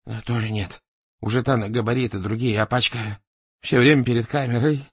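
A man's voice: mid-range voice, steady tone, brisk, slightly rough, dry recording. A man reading an audiobook as an anxious, fawning male manager who placates and makes excuses. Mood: defensive. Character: nervous middle-ranking male manager, fawning and defensive.

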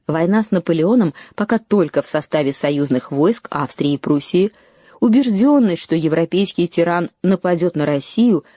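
A woman reading a scripted documentary narration in a measured way, lifting her voice on key phrases. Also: on the low side, mellow, no echo, clean studio sound.

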